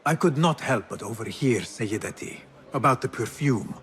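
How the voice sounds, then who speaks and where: An actor playing a resolute, guarded assassin in a video game, speaking in measured, serious and formal phrases. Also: male voice, medium pitch, animated, fairly bright tone, slightly rough. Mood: polite, guarded. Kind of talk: dramatic game cutscene acting.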